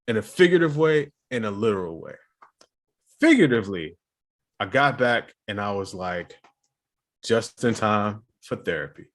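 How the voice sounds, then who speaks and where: A man with a fairly low voice trades easygoing, slang-filled banter as a podcast co-host. His talk is loose and relaxed, full of quick asides and friendly jokes. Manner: laid-back slangy banter. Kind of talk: casual chat.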